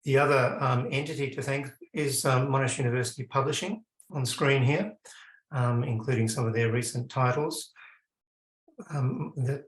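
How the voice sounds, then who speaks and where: Aussie guy wrapping up an event, mid pitched, lots of ums, echoey room. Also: fairly even intonation. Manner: polite, halting, with many ums. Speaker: event host. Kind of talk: closing remarks and thanks.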